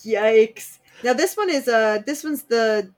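Expressive woman co-hosting a chatty podcast. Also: a little high, slow. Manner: expressive, exclaims and reacts. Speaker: podcast co-host. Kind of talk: casual chat about movies.

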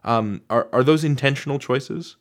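A man's voice: medium pitch, moderate pace. A man hosting a conversational interview, thoughtful and articulate with a playful way of talking. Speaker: podcast interviewer, host.

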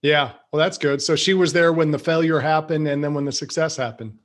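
Male host, slightly high voice, steady affirmations, asks follow-ups, says y'all. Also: fairly quick. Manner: affirming, frequent "yeah" and "okay", uses "y'all". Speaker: interview host. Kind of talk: conversational interview.

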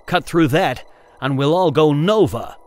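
A man's voice: medium pitch, lively intonation, brisk, lightly acted. A man voicing an anxious male co-pilot who speaks breathlessly. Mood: alarmed. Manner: breathless, worried.

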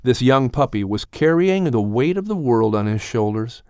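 A man reading scripted story narration for an audiobook in an expressive way, close to the mic. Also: mid-range voice, normal speed, smooth, dry recording.

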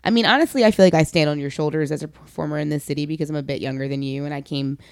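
Female podcast host, low register, dry close sound, casual and earnest interviewer. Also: rapid-fire, dry recording.

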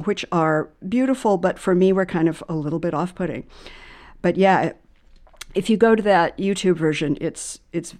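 A woman with a slightly low voice hosts a reflective conversation about music. She is thoughtful and halting, often doubling back on words and repeating phrases as she works out what to say. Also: darkish tone. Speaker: podcast host.

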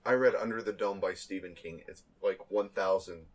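A man's voice: deep voice, moderate pace. A man co-hosting a podcast, chatting casually and jokingly with friends and swearing freely. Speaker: podcast co-host. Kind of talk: casual profane banter among friends.

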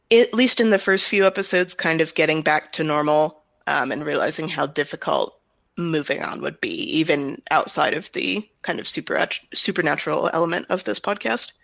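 A woman's voice: low-pitched, normal speed, vocal fry, fairly bright tone, no room sound, highs cut off. An American woman giving casual, explanatory answers as an interview guest. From United States. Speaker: audio drama writer and director, interview guest.

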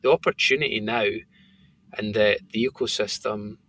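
Gravelly Scottish man, rambling chat. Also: on the low side, fairly even intonation, normal speed, fairly bright tone. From Scotland. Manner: rambling, filler-heavy storytelling. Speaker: company founder and guest. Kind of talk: casual podcast chat.

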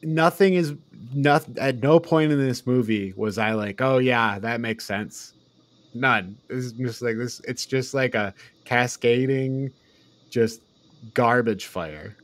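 A man's voice: medium pitch, takes it slowly. A man co-hosting a podcast, giving casual, opinionated film critique in an exasperated, incredulous manner. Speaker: podcast co-host.

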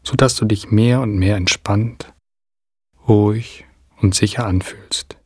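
Male narrator for guided imagery: soothing and unhurried, breathy-husky voice, long pauses, dry studio-like sound. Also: fairly low pitch, steady tone, darkish tone, dry recording. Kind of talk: guided meditation, scripted narration.

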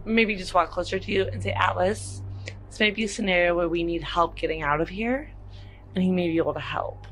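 A woman playing a tabletop game, speaking in character in a measured, reasoning, conversational way. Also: on the low side, fairly bright tone, a little hiss or hum, rough recording. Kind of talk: conversational in-character role-play.

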